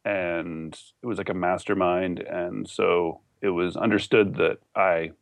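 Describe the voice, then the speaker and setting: A Canadian man with a fairly low voice, recorded close and dry. He talks as an interview guest in a casual, loosely rambling way, like someone telling his story over coffee. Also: steady tone, unhurried, gravelly, no room sound. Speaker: podcast guest, online entrepreneur.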